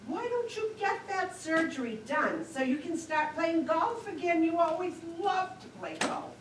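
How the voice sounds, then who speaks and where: A chatty, nagging older woman played by an actress on stage, with lively swings in pitch and an unhurried pace. She sounds self-absorbed and easily exasperated. The recording is roomy, with clear noise. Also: distant mic, full character voice. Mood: exasperated, urging. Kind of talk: amateur stage play dialogue. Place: lakeside community theater stage, picked up from afar.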